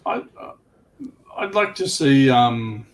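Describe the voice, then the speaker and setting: A man's voice: medium pitch, animated, slow. A man chatting casually as a panelist, in a hesitant, rambling way. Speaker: livestream panelist. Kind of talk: casual chat. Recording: faint background noise on livestream mic.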